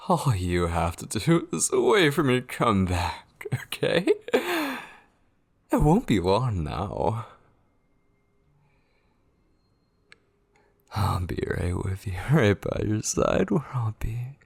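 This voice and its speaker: Casting: male actor as possessive, unhinged male captor for horror ASMR; gravelly, husky, taunting and devoted, unhurried delivery. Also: on the low side, wildly swooping pitch, exaggerated voice. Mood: coaxing. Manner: slow, lingering, repeating words, menacingly tender. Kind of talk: ASMR horror roleplay acting.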